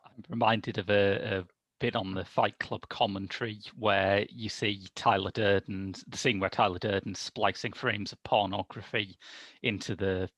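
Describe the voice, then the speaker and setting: A man's voice: on the low side, fairly even intonation, normal speed, a bit bright, dry recording. A man in his thirties casually discussing films as a podcast reviewer, on a headset video call. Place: small domestic room.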